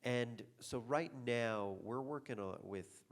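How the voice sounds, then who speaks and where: A man's voice: medium pitch, slow pace. A man speaking as a panelist at a conference, friendly and rambling, with frequent fillers. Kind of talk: conference talk.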